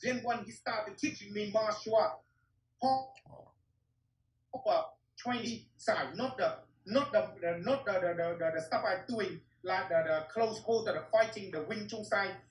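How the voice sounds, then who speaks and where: A man's voice: high-pitched, measured pace, a little hiss or hum. Man, blunt, swears a lot, broken English, echoey room, mic a bit far off. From Vietnam. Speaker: martial arts teacher. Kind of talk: interview storytelling. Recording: roomy echo, distant mic.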